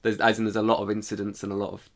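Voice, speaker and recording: A man's voice: lowish voice, monotone, rapid-fire. A man co-hosting a podcast, chatting casually and informally in review style, with the odd swear word. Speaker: podcast co-host.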